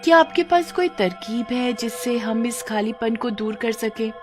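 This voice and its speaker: An eager young girl from a cartoon tale, voiced by a woman, pitched high. She sounds childlike and inquisitive, with a lively lilt. Light music runs underneath. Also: normal speed, natural voice. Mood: curious. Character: curious, eager young daughter of a witch.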